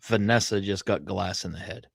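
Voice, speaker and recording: A man's voice: low-pitched, moderate pace, vocal fry, no room sound, studio quality. A man co-hosting a podcast, talking in a casual, conversational way. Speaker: podcast co-host. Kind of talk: casual chat.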